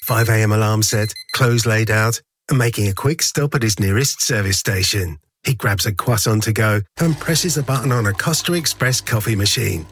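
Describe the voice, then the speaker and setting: A man voicing a radio commercial with a relaxed, savouring delivery. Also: fairly low pitch, moderate pace, few pauses, husky. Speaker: advert voice-over. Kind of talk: scripted commercial narration.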